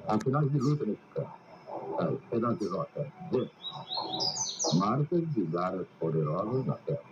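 An actor with a Brazilian accent playing a methodical, observant police inspector, deliberate and theatrical in an old radio play. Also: male voice, lowish voice, very animated. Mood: observant, grave. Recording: dull noisy radio speaker, ambient birds.

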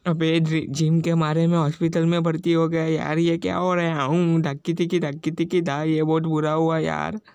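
A man's voice: on the high side, little pitch movement, moderate pace, few pauses, darkish tone, clean tone, no echo, close to an everyday voice. A man voicing a boastful, lovesick young male slacker in a cartoon parody dub, chanting in a sing-song way. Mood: dismayed.

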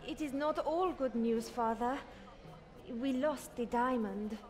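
An actress playing a headstrong young daughter in a video game, indignant and firm. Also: female voice, fairly high pitch, takes it slowly, darkish tone. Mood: reproachful. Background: music.

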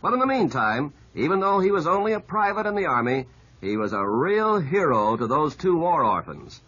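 A man's voice: fairly high pitch, very animated, moderate pace, fairly bright tone. A man announcing on 1950s radio, telling scripted items with polished, folksy delivery. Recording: muffled, husky-sounding old radio recording.

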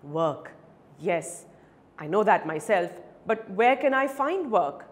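A woman's voice: medium pitch, lively intonation, close to an everyday voice. Woman voicing a plaintive, pleading ragged beggar man. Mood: resigned, humble. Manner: plaintive, halting when challenged. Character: ragged, pleading male drunkard beggar, evasive and humble. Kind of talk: dramatic short story reading.

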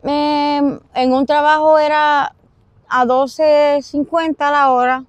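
A young woman with a Puerto Rican accent giving personal testimony in an interview, halting and pausing mid-sentence. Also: high-pitched, flat delivery, no echo. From Puerto Rico. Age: young adult. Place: outdoors in an open park.

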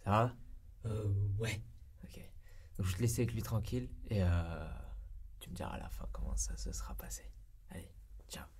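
A young man chatting casually in an ASMR roleplay, soft-spoken and breathy, with a hesitant, slangy way of talking. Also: on the low side, normal speed, pauses often. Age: young adult. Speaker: roleplay performer as barbershop regular. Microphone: close binaural microphone.

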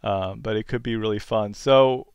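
A man giving a casual, easygoing software tutorial as host, thinking aloud as he goes. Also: on the low side, fairly even intonation, normal speed, gravelly, clear, resonant tone, no room sound, studio quality. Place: indoors at a computer, screen-recorded demo. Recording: music bed playing behind the voice. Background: music.

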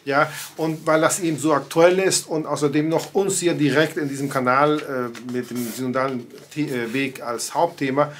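A man's voice: mid-range voice, a bit bright. A man in his sixties, a native Spanish speaker, giving conversational commentary to camera from his home study, with frequent fillers and restarts. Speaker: commentator on church affairs. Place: home study with bookshelves and pictures.

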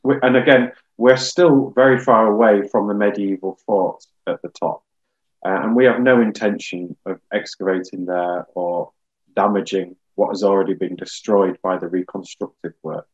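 Low, slightly muffled man explaining. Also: steady tone, moderate pace, slightly rough. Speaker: geologist guest. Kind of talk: explaining in a webinar. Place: small room, indoors, on a video call.

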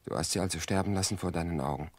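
An actor playing an earnest, doubting man in love, halting and husky, in a radio drama. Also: sits low, no echo, natural voice. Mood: probing. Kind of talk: German radio drama acting.

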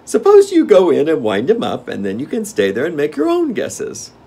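A man's voice: a little high, huge pitch swings, moderate pace, gravelly, warm tone, breathy edge, pitched above the performer's own voice, exaggerated voice. A man voicing a sly, gloating gnome king in a mocking, theatrical storybook manner.

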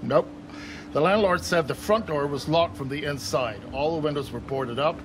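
An easygoing American male detective played by a man with a slightly high voice. He talks casually and matter-of-factly like a cop in a game, over loud background noise. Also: normal speed, close to an everyday voice. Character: easygoing male police detective briefing a colleague. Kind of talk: video game performance capture acting.

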